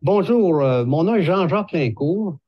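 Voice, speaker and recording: Man in his 60s, Québécois accent, fairly high voice, measured explanatory talk, dry close sound. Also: expressive, dry recording, dull audio. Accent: Québécois French. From Quebec. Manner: measured, hesitant with frequent fillers. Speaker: horticulturist presenting a video.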